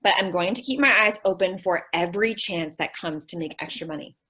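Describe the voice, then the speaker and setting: A woman's voice: fairly low pitch, a little fast, pushed and bright, slightly rough. A woman acting a sweet, dim-witted, earnest young secretary in an old-time radio comedy. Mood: earnest, naive. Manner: naive, literal-minded, earnest.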